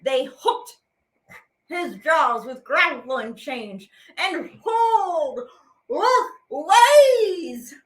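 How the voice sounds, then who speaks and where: A high-voiced woman reads children's verse aloud as a teaching artist. Her delivery is theatrical and playful, swooping widely in pitch. She takes it slowly, stretching out words for effect. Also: sing-song. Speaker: teaching artist and program host. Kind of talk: expressive read-aloud of children's poems.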